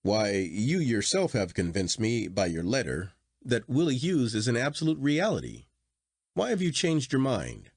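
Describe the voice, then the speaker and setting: A man voicing a convinced, stubborn gentleman in storybook dialogue, formal, assertive and questioning. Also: medium pitch, sing-song, moderate pace, vocal fry, husky, no echo, clean studio sound, full character voice. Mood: convinced, challenging.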